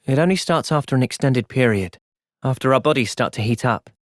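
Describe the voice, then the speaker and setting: An actor playing an earnest, thoughtful young soldier in a video game, soft-spoken and sincere but resolute. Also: male voice, mid-range voice, fairly quick, no echo, studio quality, close to an everyday voice. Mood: calm, thoughtful. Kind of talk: video game cutscene acting.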